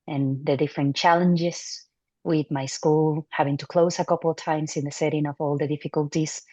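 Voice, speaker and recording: A woman in her thirties from Venezuela, a neurology resident, answering interview questions in a relaxed, thoughtful way with fillers and restarts. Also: sits low, no echo. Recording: muffled dry audio.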